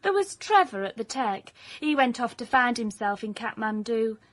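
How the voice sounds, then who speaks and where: An actress playing a young, cheeky, loyal South Yorkshire office assistant in a comic radio drama, dry and matter-of-fact. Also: female voice, on the high side, big pitch swings, normal speed. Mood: dry, guarded. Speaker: detective's assistant.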